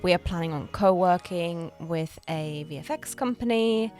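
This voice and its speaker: A woman's voice: on the low side, normal speed, darkish tone, faint music behind. A woman co-hosting a podcast as a producer, speaking in casual conversation about the work. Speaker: podcast co-host and executive producer.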